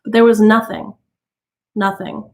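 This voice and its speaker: A woman reading a novel aloud as a storytime narrator, with expressive delivery. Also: medium pitch, a little slow, creaky. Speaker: storytime reader.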